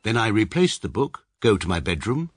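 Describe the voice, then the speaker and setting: A pedantic English barrister. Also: male voice, on the low side, very animated, no echo. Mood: dry. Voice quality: measured, dry, pedantic. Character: precise, pompous adult male barrister narrating. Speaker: narrator, adult lead character. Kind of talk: radio drama narration.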